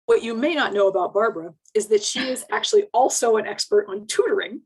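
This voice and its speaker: A woman academic explaining things conversationally on a panel, in a measured, careful manner. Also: mid-range voice, husky, rough recording.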